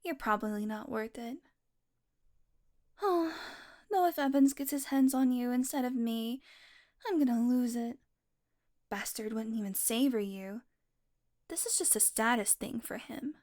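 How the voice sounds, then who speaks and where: A woman playing a smug, predatory female vampire in an intimate audio roleplay, taunting with a drawl that dips into breathy murmurs. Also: on the high side, measured pace, long pauses, a little mellow, close to an everyday voice. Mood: cool, menacing.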